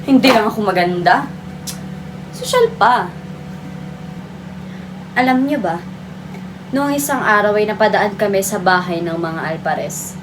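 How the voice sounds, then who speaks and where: Woman acting a haughty high-society doña, noisy recording. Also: unhurried, pauses often, lightly acted. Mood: boastful. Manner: pompous, theatrical, scolding. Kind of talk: theatrical monologue.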